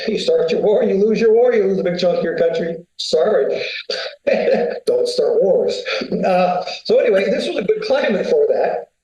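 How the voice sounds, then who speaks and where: A man's voice: fairly high pitch, mellow, reverberant, poor audio. A man, telling long rambling stories as a podcast guest, full of fillers and asides. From United States. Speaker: winery founder, guest. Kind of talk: casual interview talk.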